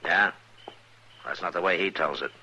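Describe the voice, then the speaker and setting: A man playing a stern, steady frontier marshal in a western radio drama, speaking in a firm, terse, authoritative way. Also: deep voice, normal speed. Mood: firm, accusing. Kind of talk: old-time radio western drama acting. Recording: dull, lightly noisy old radio recording.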